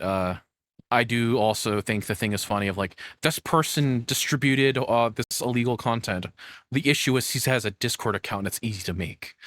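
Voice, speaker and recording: A man's voice: mid-range voice, a bit bright, dry recording, studio quality. A man chatting casually as a livestreamer, rambling and swearing in an informal way. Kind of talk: casual reaction stream chat.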